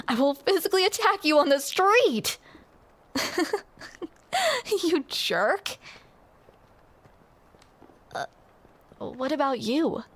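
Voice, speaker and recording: Young woman, romantic audio roleplay: teasing and playful, high voice, unhurried. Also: fairly bright tone. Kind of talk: scripted romantic roleplay spoken to the listener.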